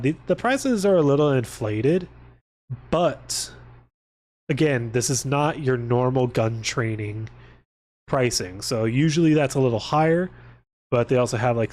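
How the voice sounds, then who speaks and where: Male streamer, mid-range voice, unhurried pace, loose sweary rambling, casual and exclamatory. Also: mid-range voice, a little mellow. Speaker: livestream host. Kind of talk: casual livestream chat.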